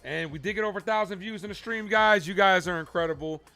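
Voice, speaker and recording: Male livestream sports host, bright forceful voice, excitable play-by-play with chatty asides, faint music underneath. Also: high voice, quick pace. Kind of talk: live fan play-by-play commentary and reaction.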